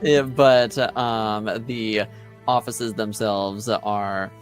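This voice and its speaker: A man running a tabletop game as game master, talking with many ums and pauses. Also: fairly low pitch, fairly even intonation, faint music behind. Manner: hesitant, many fillers.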